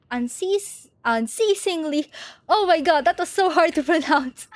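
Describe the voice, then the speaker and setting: A woman's voice: sits high, dry recording. A woman hosting a livestream, talking informally and thinking aloud, moving between casual chat and reading stories aloud. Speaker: livestream host. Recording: music behind the voice. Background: music.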